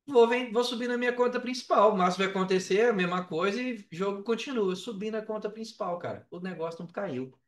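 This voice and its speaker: A Brazilian man with a high voice tells a story in loose, slangy talk, slipping into quoted dialogue as he goes. He sits back from the mic in an echoey room, so the sound is roomy and a little distant. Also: fast talker, hardly any pauses. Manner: colloquial, slangy, re-enacts dialogue. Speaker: podcast guest, affiliate marketer. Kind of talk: animated casual storytelling.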